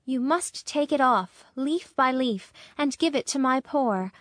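A woman's voice: high-pitched, lively intonation, measured pace, fairly bright tone, no echo, clean studio sound, voice pushed up. A woman voicing a compassionate male statue prince who talks in an earnest, pleading way. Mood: earnest, gentle. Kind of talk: storybook reading.

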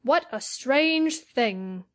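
A woman's voice: high voice, lively intonation, extremely slow, a bit bright, no echo, clean studio sound, heavily acted. A woman voicing a male foundry overseer who sounds puzzled and matter-of-fact.

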